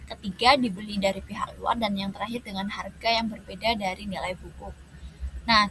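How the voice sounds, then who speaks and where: A young woman with a very bright, crisp-edged voice at a medium pitch. She presents scripted academic material as a student, going through it point by point. There is faint noise behind her. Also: poor audio. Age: young adult.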